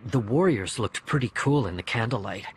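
A man's voice: medium pitch, lively intonation, moderate pace, breathy edge, rough recording. A man reading a first-person audiobook story expressively, acting out the dialogue. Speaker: audiobook narrator. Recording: music behind the voice. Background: music.

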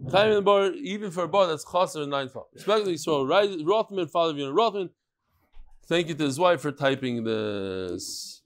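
A middle-aged man teaching a daily Talmud class, reading emails aloud with lively asides to the room and Hebrew and Yiddish terms. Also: a little high, normal speed. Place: large study hall with wood paneling.

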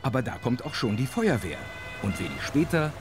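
A man playing an involved, expressive storyteller who narrates a children's audio drama. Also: mid-range voice, moderate pace, music in the background, natural voice. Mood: narrating.

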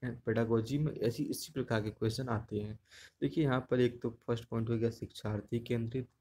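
A man's voice: mid-range voice, steady tone, fairly quick, a little mellow, slight background noise. A man with a Hindi accent teaching an exam-prep lesson over slides. He explains patiently, repeats his points and checks in with the listener. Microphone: screen recording, unseen speaker.

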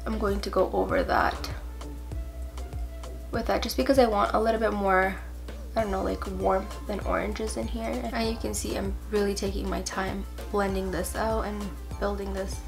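Stuffy-nosed young woman vlogger. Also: mid-range voice. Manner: chatty, lots of fillers, quieter while applying makeup. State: stuffy with a cold. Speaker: beauty vlogger. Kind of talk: casual makeup tutorial. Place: bedroom at home.

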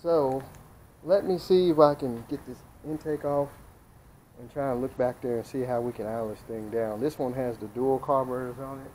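A man's voice: mid-range voice, moderate pace, a little mellow. Man in noisy outdoor audio. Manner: folksy, thinking aloud while working. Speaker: DIY mechanic vlogger. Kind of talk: casual hands-on repair vlog. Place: outdoors on a residential driveway. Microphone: camera mic at changing distance.

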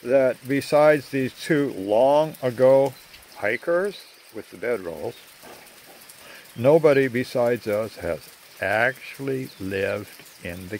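Older man, slow and halting, lively rising and falling pitch, faint rain behind, phone held at arm's length. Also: slight background noise. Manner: slow, halting, full of uh fillers. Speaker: vlogger. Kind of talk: rambling outdoor narration. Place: outdoors among trees.

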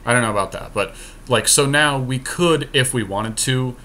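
A man's voice: mid-range voice, moderate pace, bright, forceful tone. A man giving casual, slangy commentary on a game, thinking aloud as he goes. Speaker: podcast host playing a card game. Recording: clear background noise.

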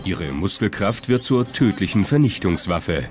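Low-voiced male documentary narrator over music. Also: expressive, moderate pace. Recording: music under the voice. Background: prominent music.

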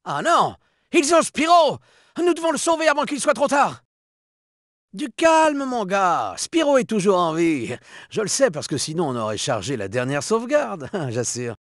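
High, lively cocky cheetah sidekick. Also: male voice, no room sound, studio quality. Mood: urgent, confident. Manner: breezy, boastful, chummy. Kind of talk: video game character voice acting in French.

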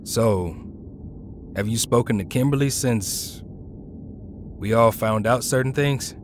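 An actor playing an easygoing, loyal teenage hero in an audio drama, speaking in a casual, slangy, supportive way. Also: male voice, medium pitch, takes it slowly, noisy background, lightly acted. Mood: casual, concerned.

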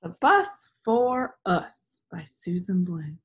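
A woman's voice: fairly low pitch, big pitch swings, slow pace, breathy edge. A woman in her thirties reading aloud for young children, teacherly and prompting with questions. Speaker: read-aloud storyteller. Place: small living room indoors.

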